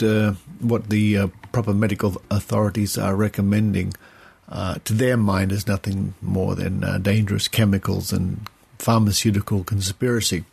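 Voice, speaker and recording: An Australian man hosting a podcast, reading news and commentary in measured, deliberate phrases. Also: lowish voice, gravelly, a little mellow, breathy edge. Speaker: podcast host. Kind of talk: scripted news commentary, reading reports aloud.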